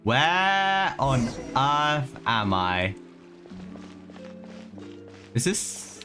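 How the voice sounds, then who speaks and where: A woman's voice: extremely low pitch, very animated, drawn-out words, bright, forceful tone. A woman streaming gameplay with casual, rambling commentary and slangy, muttered exclamations. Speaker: game streamer. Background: game music.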